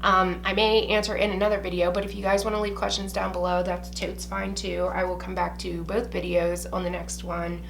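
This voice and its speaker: A woman's voice: fairly low pitch, level tone, brisk, creaky, few pauses, slight background noise. A young woman from Ohio, chatting to camera in a blunt, casual way and swearing freely. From Ohio, USA. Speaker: beauty vlogger. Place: small indoor room with shelves behind.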